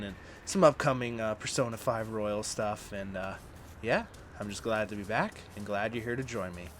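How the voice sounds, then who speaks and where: Man, gaming host, casual let's-play chat, slightly hoarse, upbeat, lower voice. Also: a little hiss or hum. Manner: chatty and upbeat, with frequent fillers.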